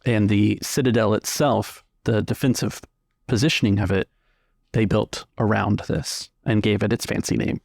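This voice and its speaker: A man working as game master, narrating a tabletop roleplaying game in measured, halting descriptions with pauses. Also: on the low side, fairly even intonation, vocal fry, a little mellow, dry recording, studio quality.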